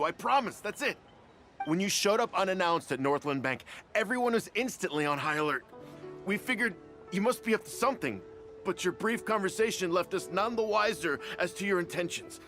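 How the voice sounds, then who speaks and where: A flustered henchman caught snooping in a video game. The voice is high and sharp, defensive and anxious, with light music underneath. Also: lively intonation, fairly quick. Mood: anxious, pleading. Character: nervous, cornered male underling sent to spy. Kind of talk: scripted video game dialogue.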